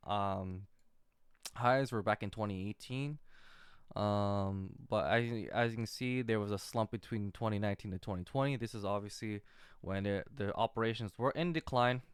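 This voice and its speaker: A man giving an off-the-cuff screen-share commentary as an amateur investor, talking in a halting, rambling way. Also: fairly low pitch, clean tone, dry recording, studio quality. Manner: halting, rambling, hesitant delivery. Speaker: retail investor recording a portfolio update.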